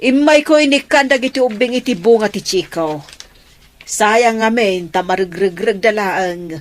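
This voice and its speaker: A woman's voice: mid-range voice, unhurried, lightly acted. Scolding radio drama mother played by an actress. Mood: exasperated, scolding. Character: exasperated, scolding mother of grown daughters. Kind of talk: Ilocano radio drama acting.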